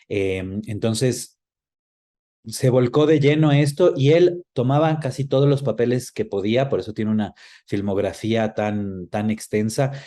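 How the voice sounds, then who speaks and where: Ecuadorian man, lowish pitch, dark relaxed tone, conversational, explanatory, interview guest.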